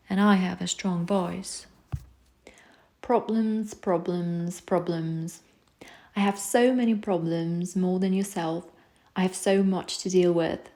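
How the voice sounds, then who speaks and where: A woman reading rhyming self-help poems aloud in a soft, soothing, unhurried way. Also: medium pitch, creaky. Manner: soft, soothing, slow recitation. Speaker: poetry reader.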